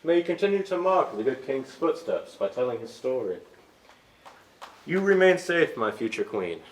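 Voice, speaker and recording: A man's voice: mid-range voice, big pitch swings, moderate pace, natural voice. Man playing a steadfast knight, very echoey. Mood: solemn, tender. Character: faithful soldier knight, steadfast and solemn. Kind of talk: staged reading of a stage musical. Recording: echoey stage, distant mic, faint noise.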